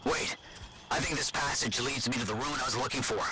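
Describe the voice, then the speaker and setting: An actor playing a stubborn, eager explorer of ruins in a video game, insistent and self-assured. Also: male voice, medium pitch, brisk, a loud crowd, natural voice. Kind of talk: scripted video game dialogue.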